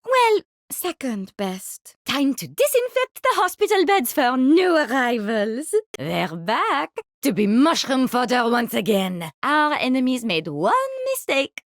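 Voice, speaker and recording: A woman's voice: sits high, sing-song, measured pace, dry recording, clean studio sound, full character voice. A woman playing an eccentric, haughty mycologist with a French accent, theatrical and gleefully morbid, in video game voice lines. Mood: haughty, resolute. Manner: theatrical, savoring, condescending.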